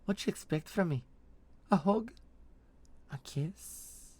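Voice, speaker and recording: A man voicing a ruthless, sharp-tongued mercenary woman who mocks and menaces, with a put-on accent, in a fantasy story. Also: mid-range voice, big pitch swings, normal speed, dry recording, pitched above the performer's own voice. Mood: mocking, scornful. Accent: put-on foreign fantasy accent.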